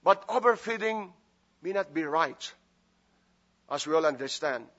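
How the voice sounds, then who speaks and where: A man's voice: fairly high pitch, expressive, unhurried, a bit bright, muffled recording. A man from the Philippines with a Filipino accent, preaching a sermon and praying as a guest pastor, chatty with joking asides and many fillers. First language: Filipino language. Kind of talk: sermon with prayer and humor.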